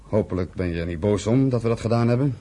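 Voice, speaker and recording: An actor playing a militant, impatient pioneer settler in a Dutch radio play, arguing forcefully with his brother. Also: on the low side, fast talker, natural voice. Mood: persuasive. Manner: forceful, argumentative, scornful.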